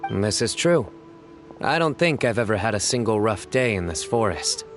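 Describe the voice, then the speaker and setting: A solemn young game character with a dry sense of humour. His low voice is measured and deadpan, with faint music behind it. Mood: dryly earnest. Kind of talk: video game voice acting.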